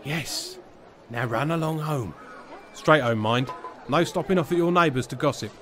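An actor playing a self-important, put-upon village bailiff with a British accent and colloquial speech in a comic audio drama. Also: male voice, fairly high pitch, big pitch swings, normal speed, fairly bright tone. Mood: officious.

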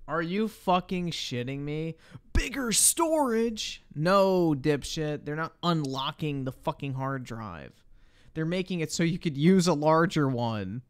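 A man's voice: fairly high pitch, expressive, slow pace, clear, resonant tone. A man doing casual live-stream reaction commentary, blunt and slangy with frequent swearing. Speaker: livestreamer reacting to videos.

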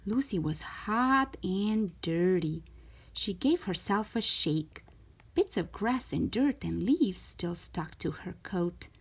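Slow, animated woman reading a children's story. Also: medium pitch, husky. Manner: animated, careful storytelling delivery. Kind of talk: expressive children's read-aloud narration.

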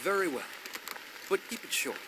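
An actor voicing a stern, haughty elf lord in a video game, formal, authoritative and disdainful. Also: male voice, sits high, animated, normal speed, noisy background. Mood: exasperated. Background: loud music.

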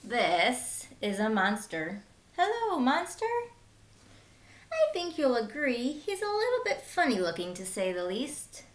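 A woman in her thirties reading a picture book aloud as a teacher, with simple, deliberate phrasing for young children and short asides. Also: on the high side, huge pitch swings, slight background noise. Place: small room at home, indoors.

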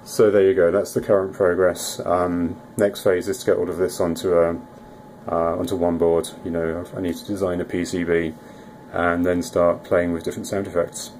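A man's voice: low voice, flat delivery, normal speed, a little mellow, a little hiss or hum. A man informally narrating a demo of an electronics project as a hobbyist presenter.

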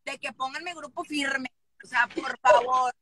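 A woman's voice: high-pitched, steady tone, measured pace, over a noisy crowd. A woman, chatting and teasing on a live video call from a car, with her phone at arm's length. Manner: playful, slangy, teasing. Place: inside a car. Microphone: phone held at arm's length.

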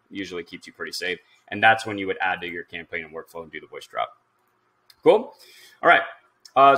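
Man, thirties, lowish pitch, bright punchy tone, casual tutorial presenter, informal, thinking out loud. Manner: informal, instructional, thinking aloud as he goes. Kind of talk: casual screen-share software tutorial.

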